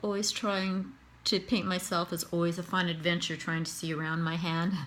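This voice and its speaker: A woman's voice: fairly low pitch, flat delivery, moderate pace, fairly bright tone, low-quality recording. A Canadian woman with a Canadian accent, chatting casually and thinking aloud as she demonstrates face painting on herself. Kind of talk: casual tutorial narration while painting herself.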